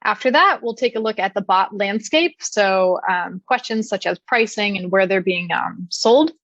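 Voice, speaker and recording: A woman giving a technical conference presentation, explaining clearly with frequent "uh" and "um" fillers. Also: medium pitch, moderate pace, fairly bright tone, no echo, dull audio. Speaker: conference speaker.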